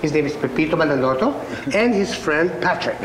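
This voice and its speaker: A man's voice: on the high side, expressive, a little fast, fairly bright tone, slight background noise, poor audio. An actor playing an eager, persuasive dealmaker with a Filipino accent, switching between English and Tagalog. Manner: pushy, upbeat, mixes English and Tagalog. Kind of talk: sitcom acting.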